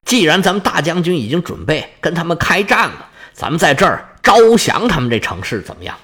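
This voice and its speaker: Teasing second general, done by a male storyteller: confident, easygoing, bright and animated. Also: a little high, a little fast, exaggerated voice.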